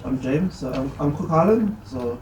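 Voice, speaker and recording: A man's voice: on the high side, moderate pace, lo-fi sound. Man from New Zealand, easygoing, lots of fillers, echoey noisy hall. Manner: relaxed, with many fillers. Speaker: community hub worker. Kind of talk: self-introduction.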